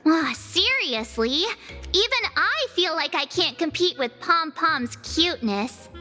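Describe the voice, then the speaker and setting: Very high, bubbly anime girl. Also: a little slow, heavily acted. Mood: impressed. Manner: perky, expressive, exclaiming. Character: bubbly, eager, cute teenage girl adventurer. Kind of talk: anime game voice acting. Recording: music behind the voice.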